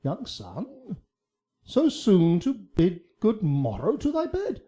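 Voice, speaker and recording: A man playing an elderly, kindly, pious friar who gently scolds, declaiming in a measured way in a Shakespearean radio drama. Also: sits high, huge pitch swings, full character voice. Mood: kindly probing.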